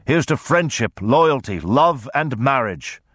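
A man's voice: medium pitch, expressive, a little slow, no room sound, clean studio sound, voice pushed up. Man voicing a brassy, crowing old lord. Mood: boisterous, bellowing. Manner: loud, boastful, mocking. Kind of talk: audiobook character voice.

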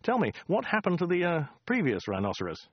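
A man's voice: high voice, huge pitch swings, brisk, dry recording, dull audio, voice pushed up, exaggerated voice. A man voicing a dry, polite and slightly nervous Englishman. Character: wry, nervous Englishman abroad. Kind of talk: audiobook character voice.

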